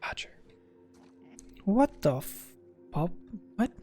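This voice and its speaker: A man's voice: sits high, very animated, slow, warm tone, in a whisper, soft background music. A man giving casual, sarcastic commentary while playing a video game, with muttered asides. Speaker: gameplay streamer.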